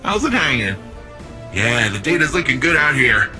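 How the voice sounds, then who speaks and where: A man's voice: mid-range voice, very animated, moderate pace, bright, forceful tone, faint music behind. An actor playing a big, cheerful, cocky young male city defender in a video game, with a breezy, slangy, eager and friendly manner. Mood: defiant, breezy.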